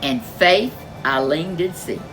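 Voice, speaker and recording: A woman reading a folk tale aloud as a storyteller, expressive and animated. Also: fairly low pitch, slow pace, faint music behind. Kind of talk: storybook read-aloud narration.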